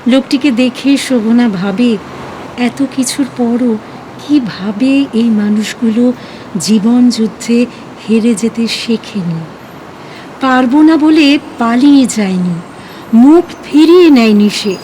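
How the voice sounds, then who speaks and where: A woman narrating a scripted audio story in an expressive, emotive, dramatic way. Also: fairly high pitch, takes it slowly, a little hiss or hum, low-quality recording.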